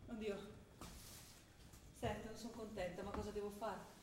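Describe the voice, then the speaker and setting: A woman acting a young revolutionary who writes earnest letters to her father, speaking intensely and sometimes dropping to a hush, in a roomy stage recording. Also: mid-range voice, steady tone, moderate pace, background noise, close to an everyday voice. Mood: evasive. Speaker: stage actor. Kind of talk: theatre drama broadcast on radio.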